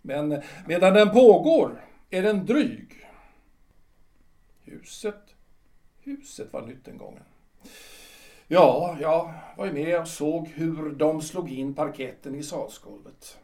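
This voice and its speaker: A male actor plays a reclusive retired civil servant in his sixties in a radio play. His voice sits high and swings widely as he muses, halting and repeating himself, resigned and nostalgic. He sounds some way off from the mic in a roomy space. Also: expressive, mellow. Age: 60s. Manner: hesitant, repeats himself, muses in broken phrases. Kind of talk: theatrical radio drama acting. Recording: roomy echo, distant from the mic.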